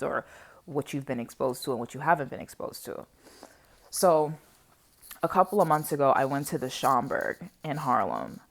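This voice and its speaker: A woman in her twenties talking casually and off the cuff to viewers, with an animated, digressive, conversational way of speaking. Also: rumbling low. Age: 20s. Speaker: vlogger sharing personal reflections on history. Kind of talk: casual unscripted chat to viewers.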